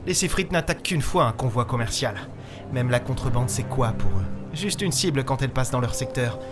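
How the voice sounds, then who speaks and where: A man's voice: medium pitch, expressive, a little fast, natural voice. Man as brooding starship captain over music. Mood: cold, accusing. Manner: short, broken, weighty phrases. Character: world-weary male starship captain, brooding and bitter. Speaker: lead character and narrator. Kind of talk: dramatic audio fiction narration. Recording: music behind the voice.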